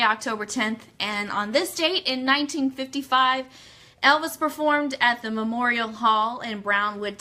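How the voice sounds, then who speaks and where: A woman's voice: sits high, sharp tone. A woman hosting an online radio show in a chatty, bubbly, informal way, moving between news items and greetings to listeners. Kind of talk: chatty livestream hosting with news read-outs. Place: Orlando, Florida.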